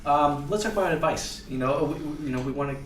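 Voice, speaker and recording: A man hosting a podcast, asking relaxed open questions and agreeing with his guest. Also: a little high, fast, distant mic. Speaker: podcast host. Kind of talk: casual interview hosting. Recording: echoey room, off-mic, faint noise.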